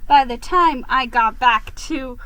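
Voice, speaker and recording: A woman's voice: high voice, takes it slowly, bright, forceful tone, no echo, full character voice. A woman voicing a beleaguered male mouse who tells his own story in a dramatic, self-pitying manner. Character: beleaguered, melodramatic male mouse narrator, a publisher.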